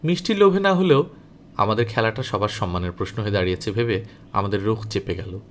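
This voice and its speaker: A man reading a novel aloud as an audiobook narrator, in an expressive, dramatic storytelling style. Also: fairly low pitch, quick pace, a little hiss or hum.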